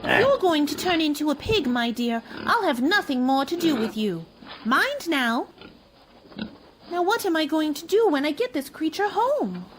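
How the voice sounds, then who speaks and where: A woman's voice: sits high, sing-song, moderate pace, background noise. A woman playing a polite, earnest, curious young girl in a radio play. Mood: fretful, scolding.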